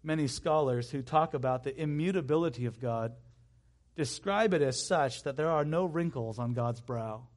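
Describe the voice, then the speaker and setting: A man's voice: mid-range voice, normal speed. A man in his thirties preaching a sermon in an emphatic way, repeating key phrases and slipping into conversational asides. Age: 30s.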